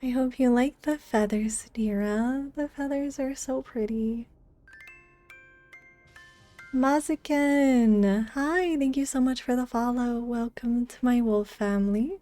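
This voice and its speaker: A woman's voice: fairly high pitch, slow, mellow. A woman live streamer chatting casually and in a friendly way with her viewers while gaming. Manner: friendly, greets viewers by name. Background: game music.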